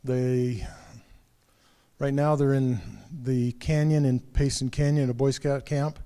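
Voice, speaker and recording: A man with a mellow, warm voice runs a club meeting in a room. His announcements are loose and rambling, full of small restarts. His pitch sits in the middle of the usual range. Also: slow pace, smooth. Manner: relaxed, rambling with fillers and restarts.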